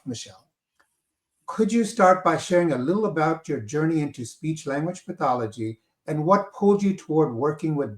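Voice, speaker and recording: A man hosting a live interview, talking haltingly with many fillers and a repeated "on there". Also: fairly high pitch, reverberant. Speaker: host, company director of school services.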